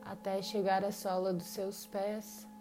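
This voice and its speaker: A woman with a Brazilian accent leads a guided meditation in short, unhurried phrases separated by long pauses. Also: mid-range voice, little pitch movement. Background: meditation music with singing bowls.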